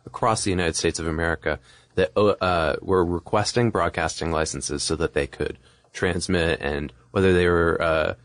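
Very low-voiced man, casual podcast talk. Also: fairly even intonation, moderate pace, no echo. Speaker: podcast co-host. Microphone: close studio microphone.

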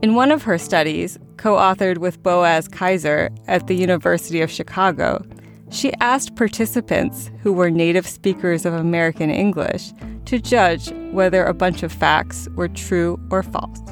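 A woman hosting a science podcast, reading scripted narration in a measured, explanatory way. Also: lowish voice, faint music behind. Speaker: podcast host.

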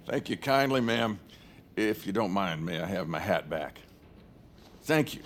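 Courtly cowboy senator with swooping pitch. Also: male voice, animated, a bit bright, a little hiss or hum, heavily acted. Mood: courtly, puzzled. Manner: courtly, folksy, drawn-out politeness. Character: courtly, formidable male cowboy senator, folksy and guarded. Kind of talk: audio fiction drama acting.

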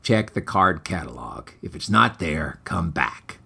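Man doing a low, bored librarian. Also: measured pace, vocal fry, slightly rough, exaggerated voice. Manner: flat, curt, deadpan. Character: bored, indifferent ordinary male librarian.

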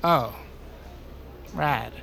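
A man's voice: mid-range voice, drawn-out words, pushed and bright, exaggerated voice. A man playing a self-important, laid-back artist in a comedic audio drama, pompous and dismissive. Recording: clear background noise and ambience.

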